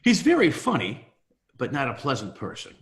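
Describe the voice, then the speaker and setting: A man's voice: medium pitch, wildly swooping pitch, normal speed, a bit bright. A man playing a merry, scornful young nephew at a party in a dramatic table read. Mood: mocking amusement.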